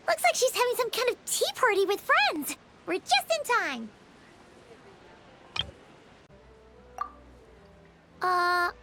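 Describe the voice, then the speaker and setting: A woman voicing a small, excitable, naive fairy companion in a video game, with a squeaky childlike voice and bubbly manner. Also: noisy background. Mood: excited. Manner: bubbly, refers to herself in third person.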